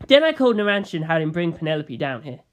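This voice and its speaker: A woman's voice: sits low, sing-song, fast. A woman narrating first-person fiction in a dramatic audiobook reading style.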